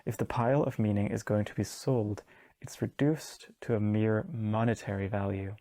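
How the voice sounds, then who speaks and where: Wry young Danish man, mellow low voice. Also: steady tone, moderate pace. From Denmark. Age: 20s. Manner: wry, sardonic, casual asides. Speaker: video essayist. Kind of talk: video essay book recap.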